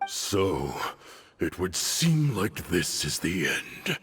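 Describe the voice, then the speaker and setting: A man's voice: low-pitched, big pitch swings, drawn-out words, fairly bright tone, a little hiss or hum, full character voice. A man voicing a proud, grandiose, defiant cult commander in a dramatic video game. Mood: grim, resigned. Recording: very reverberant, music under the voice.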